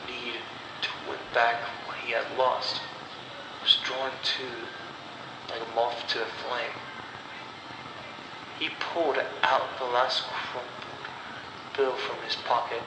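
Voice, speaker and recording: A man tells a horror story with dramatic, deliberate phrasing. His delivery is slow and his pitch sits in the middle range for a man. The recording is very reverberant, with clear noise behind him. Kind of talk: scripted horror story narration. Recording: echoey, long reverb, clear background noise.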